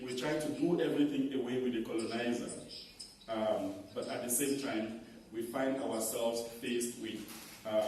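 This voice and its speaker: A man's voice: mid-range voice, mellow, distant mic, slight background noise. Cameroonian man answering in a echoey hall. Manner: measured, repeats words while thinking. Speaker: conference speaker. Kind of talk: academic Q&A answer. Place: conference hall.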